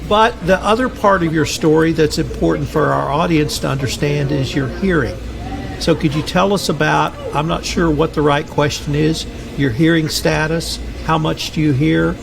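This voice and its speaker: A man's voice: a little high, vocal fry. A man in his sixties from Texas hosting a podcast in a casual, chatty way, with plenty of fillers. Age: 60s. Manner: folksy, chatty, hesitant with fillers. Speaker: podcast host. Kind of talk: casual interview. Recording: noisy recording.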